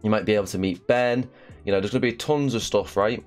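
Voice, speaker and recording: Young host with a slight cough, low smooth voice, chatty and filler-heavy. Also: male voice, fast, soft background music. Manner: chatty, with frequent "uh" and "um". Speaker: channel host. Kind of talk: casual promotional video.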